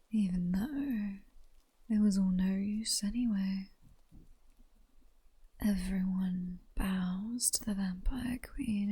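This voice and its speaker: Woman playing a British vampire queen, ASMR roleplay, very breathy whisper, soft mellow tone, slow, sultry, mocking, condescending. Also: medium pitch, full character voice. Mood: mocking, menacing. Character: seductive, mocking vampire queen toying with captured prey. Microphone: close-up ASMR microphone.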